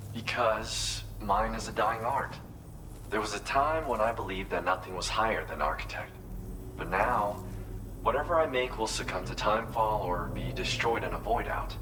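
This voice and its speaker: A man's voice: lowish voice, sharp tone, rough recording. An actor playing a wistful, proud architect in a video game, speaking earnestly and reflectively as he explains his craft. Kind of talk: video game cutscene dialogue. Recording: clear background noise under the voice.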